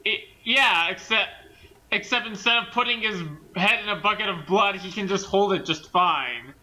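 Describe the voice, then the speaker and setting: High, very bright-voiced man. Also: moderate pace, rough recording. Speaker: co-commentator. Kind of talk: casual joking commentary.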